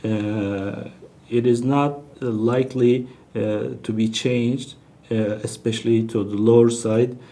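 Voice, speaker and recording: A man presenting financial results as a bank executive, speaking in a halting, hesitant way with searching pauses. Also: mid-range voice, steady tone, warm tone. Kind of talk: business presentation, unscripted financial briefing.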